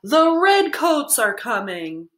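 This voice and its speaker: A woman voicing a loud colonial man in a storybook, bellowing warnings in drawn-out calls. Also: big pitch swings, roomy sound. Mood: loud, urgent. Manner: booming, drawn-out calling.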